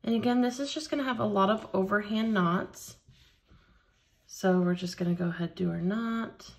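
A woman leading a hands-on beading tutorial at a craft table, explaining each step slowly and patiently. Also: medium pitch, vocal fry. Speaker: craft instructor.

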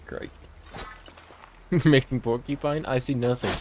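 A man's voice: medium pitch, steady tone, a little slow. Joking young man gaming with noise. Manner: joking, laughs often. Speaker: gamer streamer. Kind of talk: casual co-op gaming chat. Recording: game sound and noise behind voice.

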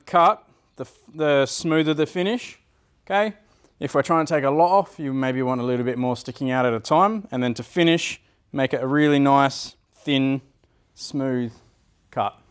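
A man's voice: fairly high pitch, smooth. A man in his thirties giving an informal hands-on demonstration as a woodwork teacher, often checking in with short tag questions. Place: school woodwork workshop, indoors.

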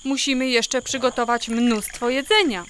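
A woman's voice: fairly high pitch, steady tone, moderate pace, fairly bright tone, natural voice. A woman playing a busy, fretful mother in a children's audio drama. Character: busy, fretful mother of ark-building family. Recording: noisy crickets and frogs behind.

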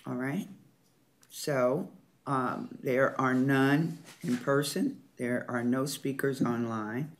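A man's voice: medium pitch, fairly even intonation, slow. Man chairing a board meeting. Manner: reads agenda items steadily, calls motions and votes. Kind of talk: formal procedural meeting chairing.

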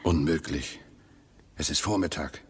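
An actor in a radio play voicing a dryly ironic, resigned husband, speaking in measured, formal phrases with a husky, gravelly voice. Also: male voice, extremely low pitch, heavily acted. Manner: measured, dry, sardonic, formal wording. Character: bitterly ironic, resigned husband trapped underground with his wife.

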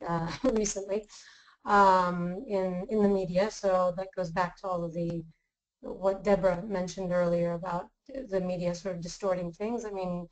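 A woman's voice: fairly low pitch, rough recording. A woman born in Greece and raised in the US, speaking as a tour manager. She is upbeat and laughs easily. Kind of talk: webinar talk about travel.

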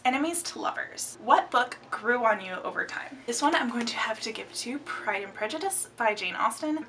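A woman's voice: a little high, distant mic, slight background noise. A young woman chatting expressively about her favorite books in a casual vlog from her home room. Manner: chatty and expressive, gestures a lot. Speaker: book vlogger. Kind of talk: casual book tag chat. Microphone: camera framing, upper body.

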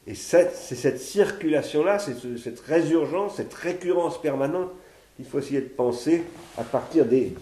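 A man's voice: mid-range voice, dark tone. Thoughtful French man lecturing in an echoey room. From France. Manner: thoughtful, searching, restarts and repeats words while reasoning aloud. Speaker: philosopher giving a seminar. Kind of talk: spoken philosophy seminar, partly read from notes. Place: living room, indoors. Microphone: fixed camera at medium distance.

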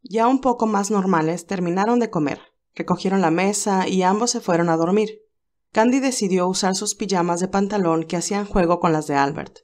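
A woman reading a romance novel aloud in a calm, even storytelling voice. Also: lowish voice. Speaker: narrator reading a fan novel. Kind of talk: audiobook narration.

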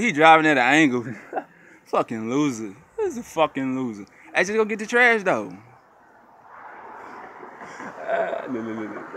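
A man, talking casually as he films outside. His pitch swings widely and his tone is bright and sharp. He keeps repeating himself in slangy, taunting street commentary. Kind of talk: casual excited street commentary while filming. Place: outdoors at night.

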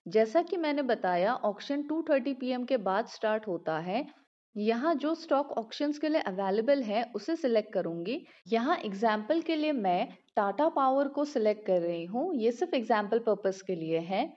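A woman walks through a trading app step by step in steady, scripted narration. Her voice sits fairly high. It is recorded in a roomy space with a slightly dull sound. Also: moderate pace. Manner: steady, explanatory, step-by-step walkthrough. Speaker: finance channel host.

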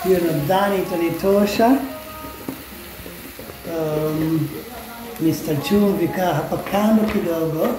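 A man's voice: fairly high pitch, measured pace, pauses often. A man with a Kenyan accent chatting casually as he cooks in a small home kitchen, mixing languages and half-singing repeated words. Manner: chatty, mixes Swahili and English, sing-song repeats words. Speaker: home cook vlogger. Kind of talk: casual cooking vlog. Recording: echoey kitchen with music behind.

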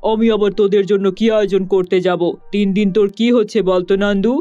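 High-voiced scolding ghost king played by a man. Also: little pitch movement, fast talker, warm tone, dry recording, natural voice. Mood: irritated. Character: grumbling male ghost king, fed up with squabbling subjects. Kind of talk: Bengali children's cartoon voice acting. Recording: dull lo-fi sound, music behind.

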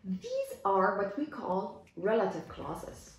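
Echoey, animated young woman teaching English. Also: mid-range voice. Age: young adult. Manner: deliberate, clearly explanatory, gesturing as she teaches. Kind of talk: reading a simplified story aloud and explaining words to learners. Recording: echoey room, music underneath. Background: background music.

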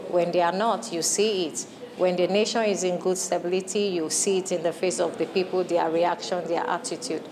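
A woman's voice: lowish voice, steady tone, moderate pace, noisy background. A woman with a Nigerian accent, a painter answering an interview in her own unscripted words. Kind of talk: spontaneous interview. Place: indoor art gallery. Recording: people chattering in the gallery.

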